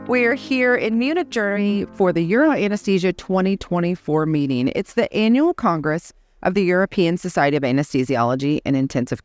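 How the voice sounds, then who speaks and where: A woman hosting a medical podcast interview at a congress, effusive and quick to laugh. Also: lowish voice, no echo, clean studio sound. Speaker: podcast host. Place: exhibit hall at a congress.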